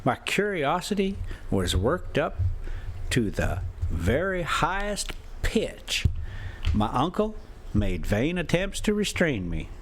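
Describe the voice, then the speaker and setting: A man's voice: a little high, huge pitch swings, slow, slight background noise. An American man with a folksy, informal way of talking, a vlogger who chats casually and reads stories aloud. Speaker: travel vlogger and storyteller. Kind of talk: casual rambling chat mixed with reading a classic novel aloud.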